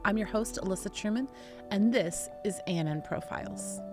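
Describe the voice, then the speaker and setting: A woman's voice: medium pitch, moderate pace, music in the background. A woman hosting an interview podcast, asking questions in a friendly, chatty way. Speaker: podcast host.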